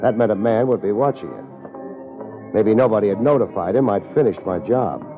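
An actor playing a laid-back, tough American private investigator, wry and deadpan, in 1950s radio drama style. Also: male voice, lowish voice, normal speed. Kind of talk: 1950s radio detective drama acting and narration. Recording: muffled old radio recording with loud music.

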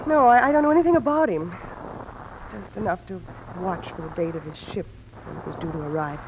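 An actress playing a guarded, fatalistic woman with secrets in a 1950s American radio drama, dramatic, halting and defensive. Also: lowish voice, close to an everyday voice. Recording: muffled, noisy old-time radio recording.

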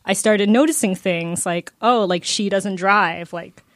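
A woman talking casually in a group discussion, telling personal observations in a halting, filler-heavy way. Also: mid-range voice, dry recording. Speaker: book discussion panelist. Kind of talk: casual chat, personal anecdotes.

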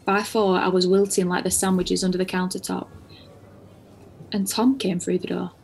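A woman's voice: on the low side, level tone, moderate pace, gravelly, a little mellow, slight background noise. An actress playing a weary cafe waitress, telling her day in a blunt, earthy way. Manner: blunt, earthy, matter-of-fact. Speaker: cafe worker narrating. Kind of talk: first-person dramatic monologue.

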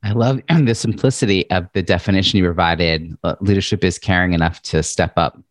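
A male podcast host, chatty and expressive, introducing and talking with a guest. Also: low-pitched, brisk, no room sound, clean studio sound. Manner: chatty, says he has a loud voice and big presence. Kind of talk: interview podcast.

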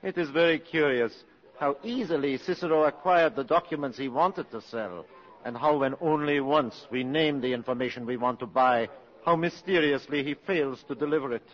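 An actor playing a cold, cynical colonel and spymaster, speaking deliberately and sardonically in a 1950s radio drama. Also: male voice, medium pitch, close to an everyday voice. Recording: muffled 1950s radio broadcast.

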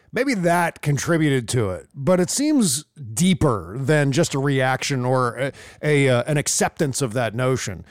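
A man hosts a casual political talk show, his voice a touch higher than most. The sound is dry and close, with no room around it, as he muses aloud in an easy, conversational way. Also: clear, resonant tone, dry recording. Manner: conversational, musing, easy laughs.

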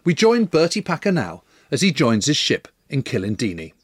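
A man's voice: mid-range voice, huge pitch swings, normal speed, breathy edge, no room sound, studio quality. A man narrating a scripted history documentary as its storyteller.

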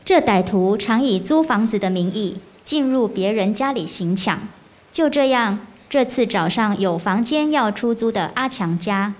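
A woman giving scripted narration for a radio drama in a measured storytelling way. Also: mid-range voice, animated.

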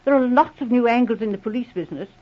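An actress playing an affectionate upper-class colonel's wife in an old radio drama, effusive and genteel. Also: female voice, a little high, quick pace, lightly acted. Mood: affectionate, persuading. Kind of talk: 1940s radio drama acting. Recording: muffled old radio broadcast recording.

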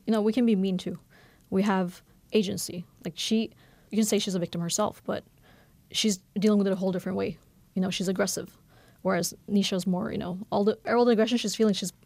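Novelist guest, woman in her thirties, quick, candid, lots of "you know", some vocal fry, dry studio sound. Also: medium pitch, no room sound. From Bangladesh. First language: Norwegian. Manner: fast, candid, animated with many fillers. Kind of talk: conversational radio interview.

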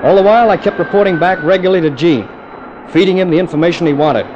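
A man's voice: high voice, fast, dull audio, background noise, close to an everyday voice. A man playing a cool, wry jet test pilot who narrates his own adventure in clipped, dramatic 1950s radio thriller style. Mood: tense, wry narration. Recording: old radio drama with music underneath.

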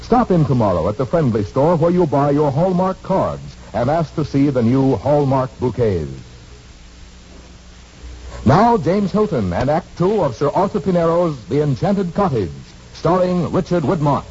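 A man's voice: on the high side, sing-song, moderate pace, dark tone. A man announcing and reading sponsor copy in a scripted 1940s radio style. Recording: dull old radio recording, faint noise.

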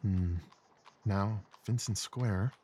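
A man playing a keen, observant Victorian gentleman detective, muttering in clipped, musing phrases in an audio drama. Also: lowish voice, steady tone, very slow, slight background noise. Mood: absorbed musing.

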